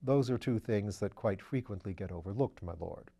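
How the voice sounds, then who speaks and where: Deferential, dry manservant, low and quiet, a man reads him, audiobook. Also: normal speed, vocal fry, husky. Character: dry, formal male manservant.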